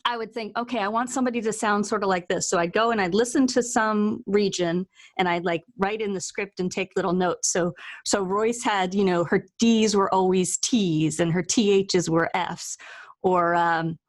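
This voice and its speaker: A woman's voice: medium pitch, fairly even intonation, moderate pace. Chatty woman hosting a casual interview. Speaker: interview host and author.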